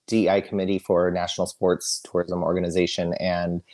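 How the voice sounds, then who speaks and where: A middle-aged man with a loud, very deep voice talks candidly as a podcast guest. He chats freely and breaks into laughter often. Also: completely flat delivery, a little fast. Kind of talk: casual interview chat.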